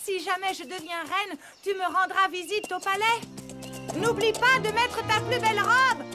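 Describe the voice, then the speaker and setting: A woman's voice: extremely high pitch, fairly even intonation, normal speed. A woman playing a coy, eager young shopkeeper's daughter in a French cartoon, ingratiating and salesy. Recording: loud music behind voice.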